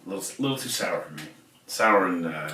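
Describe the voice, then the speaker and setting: Bright-voiced American man, distant and roomy. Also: deep voice, animated, a little fast. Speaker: podcast co-host. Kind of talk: casual beer review chat. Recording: roomy, distant mic, faint noise.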